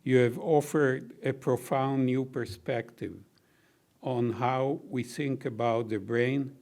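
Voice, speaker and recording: An older man with a baritone voice delivers closing remarks at a university reception, speaking very slowly and deliberately. His pitch sits in an ordinary middle range, and his gratitude comes with the odd gentle joke. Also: steady tone. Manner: slow, measured, gently humorous. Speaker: honoree giving closing remarks. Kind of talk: heartfelt formal thank-you speech.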